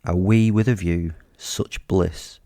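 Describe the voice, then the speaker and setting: A man's voice: sits low, steady tone, takes it slowly, warm tone, no room sound, studio quality. A man hosting his podcast and telling a first-person horror story in an offhand, chatty way, swearing casually and joking darkly. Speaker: podcast host and narrator.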